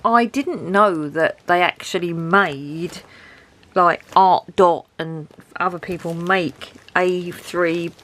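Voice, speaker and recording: A woman's voice: fairly low pitch, takes it slowly, fairly bright tone. Chatty woman with a head cold. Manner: rambling, chatty, self-deprecating, laughs often. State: head cold, dry sore throat. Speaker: craft vlogger showing a haul. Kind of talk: casual chat to camera.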